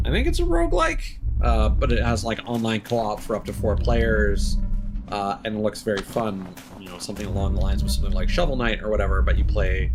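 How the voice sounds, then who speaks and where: A man giving casual, rambling commentary as he streams a video game live. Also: on the low side.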